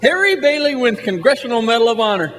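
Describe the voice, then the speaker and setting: An actor playing an absent-minded, flustered older uncle in a staged radio drama. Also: male voice, extremely high pitch, big pitch swings, fast, fairly bright tone, heavily acted. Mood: jovial, teasing. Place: large church hall. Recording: echoey hall, music behind.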